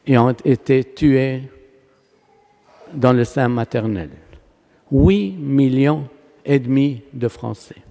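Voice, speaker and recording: A Palestinian man, a native Arabic speaker with a Palestinian Arabic accent, preaching a sermon as a priest in an emphatic, oratorical manner with rhetorical questions and pauses. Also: medium pitch, warm tone. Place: large church, preaching to a congregation. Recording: long reverberant church hall echo.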